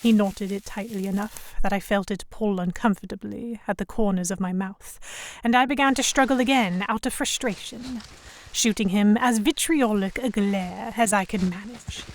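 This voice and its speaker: A woman's voice: mid-range voice, moderate pace, lightly acted. A woman playing a defiant, sarcastic young Victorian English noblewoman held captive, narrating in formal first person for an audio drama. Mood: tense narration. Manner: sardonic, defiant, formal diction. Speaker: narrator and lead voice actor.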